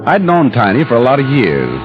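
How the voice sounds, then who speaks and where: An actor playing a wry, world-weary American private detective in a 1940s radio drama, dry and sardonic. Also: male voice, medium pitch, huge pitch swings, fairly quick. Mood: narrating wryly. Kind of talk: 1940s radio drama acting with hard-boiled narration. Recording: muffled old-time radio recording, loud music behind.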